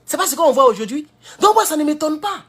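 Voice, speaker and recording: A man with an Ivorian French accent giving a heated political commentary, jumping from loud high outbursts to low mutters. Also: animated, fast. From Ivory Coast. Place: at home in California.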